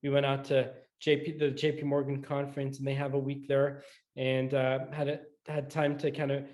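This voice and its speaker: A middle-aged man from Scotland who moved to the US as a teenager, answering interview questions conversationally in a measured way with frequent pauses. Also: medium pitch, monotone, darkish tone. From Scotland, moved to the US in high school. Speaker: guest, orthopedic surgeon.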